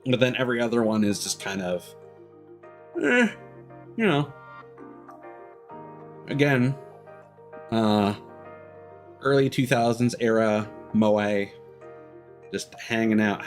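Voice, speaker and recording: Low-voiced man rambling over music. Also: slow, pauses often. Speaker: game streamer. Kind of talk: casual live-stream commentary.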